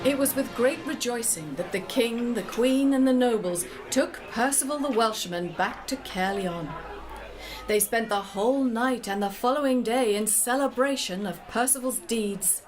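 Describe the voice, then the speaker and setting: A woman's voice: a little high, normal speed, crowd noise. A woman narrating a scripted legend dramatically, as a storyteller. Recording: music under the voice.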